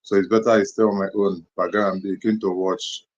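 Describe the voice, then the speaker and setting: Man, lowish voice, rambling, repetitive, muffled lo-fi line. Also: flat delivery, a little fast. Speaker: caller. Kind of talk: casual discussion. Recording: muffled lo-fi call audio.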